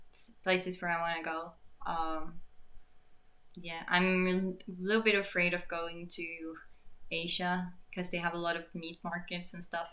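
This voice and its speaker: A woman's voice: fairly low pitch, bright, forceful tone. Chatty Norwegian-accented young woman. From Norway. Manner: chatty, laughs often, searches for words. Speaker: singer-songwriter hosting a Q&A. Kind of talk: casual live Q&A chat.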